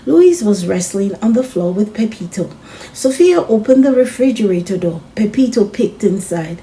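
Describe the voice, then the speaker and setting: A woman reading a children's storybook aloud as host and narrator, expressive and addressing children as friends. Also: mid-range voice, a little hiss or hum, poor audio.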